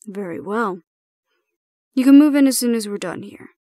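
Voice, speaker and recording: Woman acting a measured, formal female supervisor. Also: on the high side, warm tone, no room sound, studio quality, lightly acted. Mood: composed, reassuring. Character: calm, formal female supervisor handing over a hybrid prisoner. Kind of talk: audio roleplay acting.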